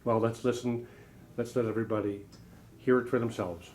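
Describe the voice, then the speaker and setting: A man's voice: mid-range voice, steady tone, a little fast, background noise. A man chatting casually and agreeably as an interviewer reporting from a show. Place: room at an audio show.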